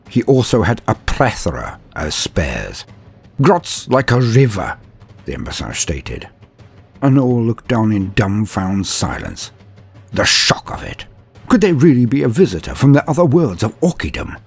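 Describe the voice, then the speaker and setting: Male narrator, husky voice, lively mock-heroic delivery, faint music bed. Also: mid-range voice. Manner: mock-epic, grandiose storyteller drama. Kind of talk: comedic storytelling narration.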